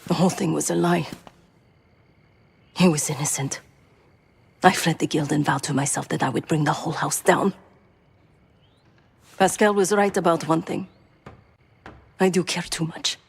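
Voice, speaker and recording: A woman acting a hardened, guilt-haunted former assassin in a video game drama, speaking in a measured, intense way. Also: low-pitched, steady tone, creaky, husky, heavily acted. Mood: subdued, confiding.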